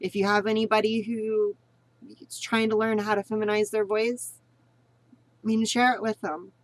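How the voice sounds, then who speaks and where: A woman's voice: medium pitch, little pitch movement, normal speed, dry recording, low-quality recording. Chatty woman teaching voice training. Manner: rambling, repeats herself with many fillers; earnest and encouraging. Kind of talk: casual spoken tutorial.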